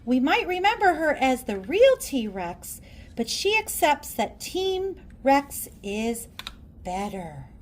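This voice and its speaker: High, very animated woman storyteller. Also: a little hiss or hum. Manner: theatrical, deliberate storytelling. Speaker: storybook reader. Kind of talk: children's read-aloud narration.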